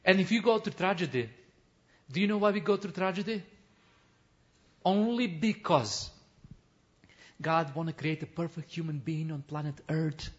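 A man who is a missionary pastor, preaching an impassioned testimony sermon in non-native English, building to shouts, with frequent restarts. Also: fairly high pitch, normal speed, long pauses. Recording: dull lo-fi recording.

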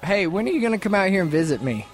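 A man's voice: sits high, very animated, rapid-fire, soft background music. A man in his thirties co-hosting a radio show, teasing a caller in mock-serious banter. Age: 30s.

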